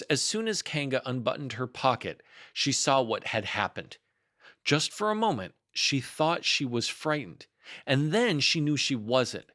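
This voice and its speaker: A man's voice: mid-range voice, sharp tone. A man narrating a children's story as a read-aloud storyteller.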